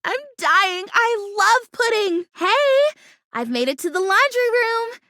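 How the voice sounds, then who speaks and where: Bubbly ditzy young plant girl for a video game, really animated and chatty, super dry close sound. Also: extremely high pitch, slow, no room sound, full character voice. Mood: excited, friendly. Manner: gushing, chatty, easily distracted, exclamatory. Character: bubbly, scatterbrained young female plastic houseplant longing to be real.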